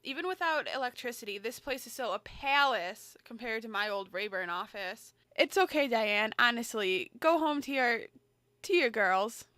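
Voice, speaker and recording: A woman's voice: a little high, animated, moderate pace, bright, forceful tone, no echo. A woman acting in an audio drama as a firm, dutiful middle-aged mother and congresswoman, authoritative yet motherly. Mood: weary.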